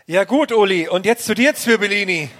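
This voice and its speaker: Male comic as eager young sleuth for mystery parody audio play; high, animated, playful. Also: a little fast. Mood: playful, brisk. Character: eager boy detective in a kids' mystery parody. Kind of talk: live comedy radio play acting.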